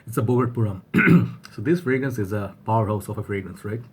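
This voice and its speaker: Husky man with a flu. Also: fairly low pitch, expressive, a little fast. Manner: rambling, repeats himself, restarts often. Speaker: fragrance reviewer. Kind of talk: casual vlog talk to camera.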